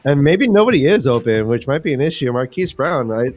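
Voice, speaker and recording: A man's voice: mid-range voice, big pitch swings, fairly quick, a little mellow. A man hosting a casual fantasy football livestream, chatting in a jokey, colloquial way and often addressing listeners directly. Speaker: host.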